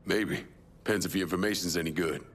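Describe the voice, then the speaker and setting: An actor playing a cool, hard-bitten criminal avenger in a video game, speaking tersely and unimpressed. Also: male voice, deep voice, quick pace, fairly bright tone, slight background noise.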